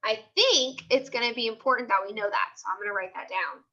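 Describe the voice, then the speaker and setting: A woman teaching a class, asking questions and repeating questions and answers slowly for her pupils. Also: a little high, bright, forceful tone, muffled recording. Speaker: teacher leading a class read-aloud.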